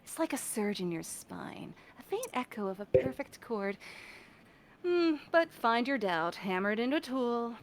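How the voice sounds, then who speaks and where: A woman voicing a taunting female conscience in a mirror, cool, mocking and deliberate. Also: a little high, big pitch swings, slight background noise.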